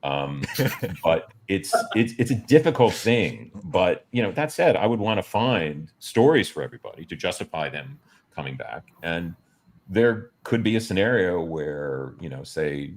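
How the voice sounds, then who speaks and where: A man in casual panel conversation, measured and hesitant as he thinks aloud. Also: low-pitched, sing-song. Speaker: guest with TV production experience.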